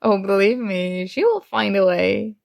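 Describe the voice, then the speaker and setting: A woman's voice: medium pitch, animated, gravelly, no room sound. Woman acting a smitten roommate, close dry voice. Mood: playful teasing. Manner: playful, conversational, halting. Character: young woman roommate, teasing, shy, smitten friend-turned-date. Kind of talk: ASMR roleplay acting.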